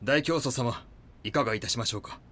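An actor playing a cult leader's coldly polite, fanatical right-hand man in an audio drama, measured and formal. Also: mid-range voice, fairly bright tone, close to an everyday voice.

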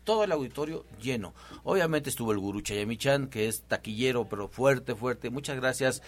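A man's voice: medium pitch, animated, moderate pace. A man hosting a promotional health talk, speaking loosely with many repeats and fillers. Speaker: host of a health show.

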